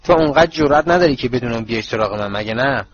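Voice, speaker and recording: A man's voice: medium pitch, lively intonation, husky, lo-fi sound. A man voicing a sulky, cowardly teenage bully who whines and shrieks for help. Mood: taunting. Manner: whining, then shrieking for help.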